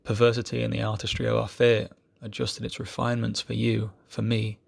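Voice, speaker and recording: Low, very gravelly man reciting poetry. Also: monotone, brisk. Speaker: amateur poetry reader.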